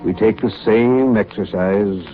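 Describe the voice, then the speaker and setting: An actor playing a reserved, weary captain of an icebound ship, measured and solemn, in 1930s radio drama style. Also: male voice, lowish voice, faint music behind, close to an everyday voice. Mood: resigned, weary. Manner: slow, measured, deliberate. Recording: tinny, narrow 1930s radio recording.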